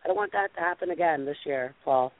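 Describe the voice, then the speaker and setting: A woman hosting a casual talk show, deep-voiced and bubbly, gushing and peppering her talk with "like". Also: fast talker, no room sound. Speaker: host. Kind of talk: casual chat show banter. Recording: tinny phone-line radio sound.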